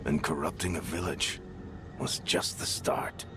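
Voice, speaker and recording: A man playing a grave, weathered storyteller who narrates a dark fantasy game in a dramatic, measured way. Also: extremely low pitch, fairly even intonation, over music. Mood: grave, foreboding. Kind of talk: scripted narration.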